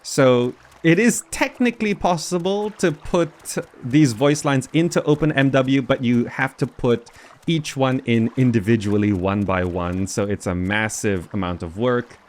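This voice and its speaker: A man's voice: medium pitch, a little slow, darkish tone. A man hosting a gaming video, giving casual commentary and thinking aloud as he goes. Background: faint game music.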